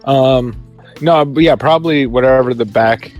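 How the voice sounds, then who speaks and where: A man improvises casually as a player in a tabletop game. His voice is of middle pitch, with faint music behind it. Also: moderate pace, a little mellow, lo-fi sound.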